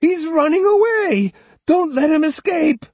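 A man reading an audiobook, voicing a spiteful, shrill old woman who taunts and scolds. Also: very animated, takes it slowly, a little mellow, breathy edge, no echo, full character voice. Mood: shrill alarm. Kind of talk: audiobook character voice.

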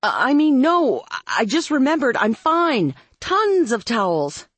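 A woman voicing a sharp-tongued young heroine who sounds flustered and urgent in an audiobook. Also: high voice, big pitch swings, a little slow, husky, dry recording, voice pushed up, full character voice. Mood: squeaky, panicked.

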